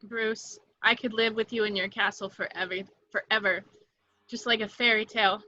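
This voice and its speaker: A woman's voice: mid-range voice, steady tone, moderate pace, bright, forceful tone, lo-fi sound, slight background noise, close to an everyday voice. A woman acting a vengeful, seductive catlike antiheroine in a superhero table read, with dramatic taunts. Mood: wistful, bitter. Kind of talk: amateur table read of a superhero film script.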